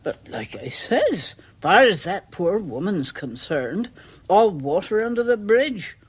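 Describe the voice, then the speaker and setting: An actress playing a superstitious, deferential village charwoman in a radio drama, with a rural English accent and a halting, folksy way of talking. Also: mid-range voice, very animated, breathy edge, heavily acted. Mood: apologetic, hesitant.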